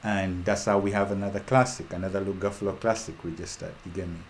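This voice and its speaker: A man's voice: low voice, fairly even intonation, fast talker, a little hiss or hum. A man from Uganda hosting a casual talk with an online audience. He speaks with fervent, repetitive rhetoric and trails off with ums. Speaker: host.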